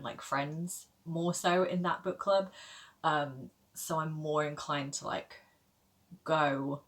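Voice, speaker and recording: A woman in her thirties talks casually to her camera about her reading. Her voice sits low for a woman, and she rambles along with plenty of "like" and "um" and small self-deprecating laughs. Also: a bit bright. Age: 30s. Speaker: book vlogger.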